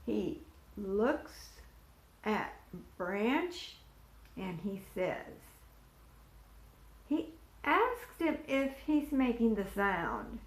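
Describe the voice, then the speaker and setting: A woman reads a picture book to small children at a very slow, deliberate pace, her fairly high voice rising and falling with lots of expression. She stops to ask questions and point at the pictures. The room is echoey. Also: sing-song. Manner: asks young listeners questions and points to pictures. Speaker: volunteer storyteller. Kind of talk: picture-book read-aloud for preschoolers.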